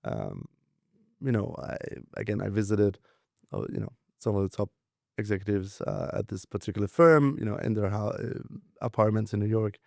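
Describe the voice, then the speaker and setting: A man in his twenties from Denmark, raised in southern France, telling his career story in a relaxed interview, with lots of fillers and restarts. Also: fairly low pitch, normal speed, gravelly, no room sound, clean studio sound. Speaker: guest, venture capitalist.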